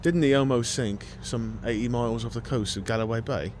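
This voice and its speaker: A man's voice: medium pitch, fairly even intonation, a little fast, a little mellow, slight background noise, close to an everyday voice. A man voicing a wary scrap diver in an audio story, dry and incredulous.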